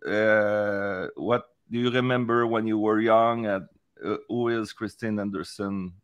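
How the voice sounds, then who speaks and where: A man hosting an interview, speaking slowly and hesitantly with a Québécois French accent, switching between French and English. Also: fairly low pitch, fairly even intonation, smooth, dull audio. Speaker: host, columnist.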